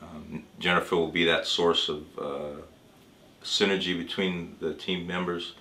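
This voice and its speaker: Man acting as a deep-voiced, measured doctor, roomy sound. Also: little pitch movement, sharp tone, a little hiss or hum. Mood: earnest, explanatory. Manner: measured, hesitant, explanatory. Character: reassuring male physician talking with a cancer patient. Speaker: doctor in a training dramatization. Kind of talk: role-played consultation, semi-improvised dialogue. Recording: roomy echo with music underneath.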